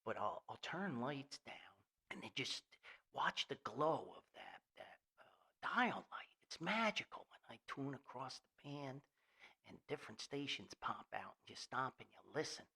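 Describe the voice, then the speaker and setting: Animated, gravelly older American man. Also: medium pitch. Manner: folksy, halting, enthusiastic, refers to himself by name. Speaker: podcast host. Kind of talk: rambling, nostalgic solo podcast monologue.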